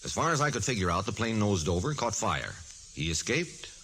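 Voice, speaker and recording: An actor playing an earnest, businesslike insurance adjuster in a 1940s radio drama, speaking in a deliberate, insistent way. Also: male voice, fairly low pitch, very animated, sharp tone, exaggerated voice. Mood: subdued, persuasive. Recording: noisy vintage 1940s radio recording.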